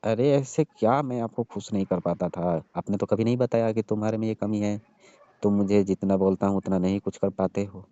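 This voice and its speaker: A man's voice: lowish voice, steady tone, fairly quick, dark tone, no echo. A young man in a casual, emotional phone conversation, pleading and insisting like a jilted lover. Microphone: phone call. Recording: dull lo-fi phone call recording.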